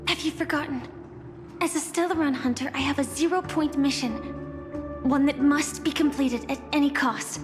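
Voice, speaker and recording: Video game role woman actor: determined young fighter, high voice, sincere, over clear background music. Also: steady tone, moderate pace, lightly acted. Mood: resolute, urgent. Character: earnest, determined young female warrior with a mission. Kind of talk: scripted video game voice acting.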